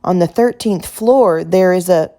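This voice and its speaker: A woman's voice: lowish voice, a little slow, mellow, natural voice. A woman voicing a polite, helpful male hotel bellhop, obliging and mildly apologetic, in a children's story. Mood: worried. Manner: courteous, obliging. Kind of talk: children's picture book character voice.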